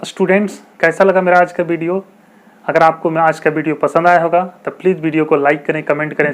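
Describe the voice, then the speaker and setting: A man explaining common English mistakes in a video lesson, slowly repeating example sentences and asking rhetorical questions. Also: a little high. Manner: rhetorical questions, chatty teacherly asides. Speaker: English teacher.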